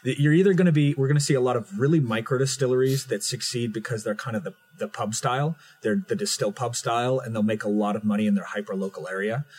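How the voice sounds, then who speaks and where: A man co-hosting a casual podcast chat, talking quickly and thinking aloud at length. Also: medium pitch, a little mellow, slightly rough. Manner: fast, talkative, thinking aloud. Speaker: podcast co-host.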